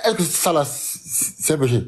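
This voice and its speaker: A man joining a live religious discussion, speaking haltingly with restarts. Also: on the high side, lively intonation. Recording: noisy line with clicks.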